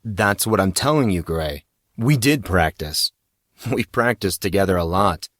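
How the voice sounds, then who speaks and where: A man's voice: deep voice, expressive, a little slow, a bit bright, dry recording, clean studio sound, full character voice. A man voicing a sulky, sardonic teenage schoolboy who grumbles bitterly in an audio story.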